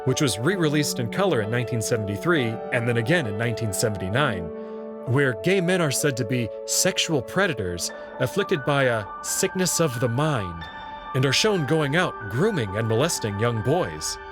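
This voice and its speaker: A man reading scripted narration and commentary as narrator and host, articulate and expressive. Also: mid-range voice, normal speed, over music.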